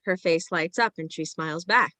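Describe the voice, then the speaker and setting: A woman's voice: lowish voice, moderate pace, bright, forceful tone, no echo. A woman reading screenplay stage directions as scripted present-tense narration.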